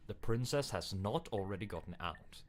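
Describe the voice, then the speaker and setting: Controlling storybook narrator acted by a man, very low, authoritative, insistent, slightly noisy recording. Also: lively intonation, natural voice. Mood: exasperated. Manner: measured, authoritative, exasperated when challenged. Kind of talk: scripted second-person game narration.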